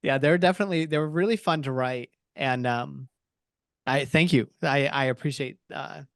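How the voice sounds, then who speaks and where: A man's voice: medium pitch, normal speed, dry recording, studio quality. A man talking casually and reflectively as an author on a podcast, agreeable and a little hesitant as he goes. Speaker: story author and podcast discussant.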